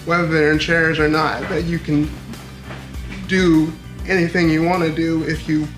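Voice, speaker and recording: A man's voice: a little high, flat delivery, moderate pace. A man who is an acting student, giving sincere, earnest personal testimony. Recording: roomy echo with faint music.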